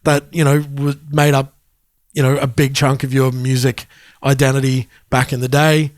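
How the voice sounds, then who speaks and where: A man in his forties co-hosting a podcast, chatting easily and backing his partner up with quick yeahs. The sound is dry and close-miked with no room echo. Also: mid-range voice, little pitch movement. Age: 40s. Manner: agreeable, chatty, quick affirmations, laughs easily. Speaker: podcast co-host. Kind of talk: casual chat.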